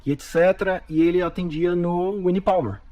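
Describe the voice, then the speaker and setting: A man's voice: high voice, normal speed. A man from Bahia in his forties with a Bahian Brazilian accent, a guest telling a story animatedly and repeating his words often. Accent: Bahian Brazilian Portuguese. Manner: animated storyteller with frequent repetitions. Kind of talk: storytelling in a podcast interview.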